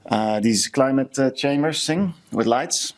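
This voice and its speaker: A man's voice: medium pitch, measured pace. Male podcast co-host, Dutch accent, mid-range voice, informal and chatty, pokes fun at himself. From Netherlands. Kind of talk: casual chat.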